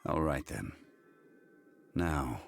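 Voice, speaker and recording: An actor playing a calm, grave, ancient god in human form in a video game, speaking formally and with measured solemnity. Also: male voice, rumbling low, creaky, faint music behind, full character voice. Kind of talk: scripted video game dialogue.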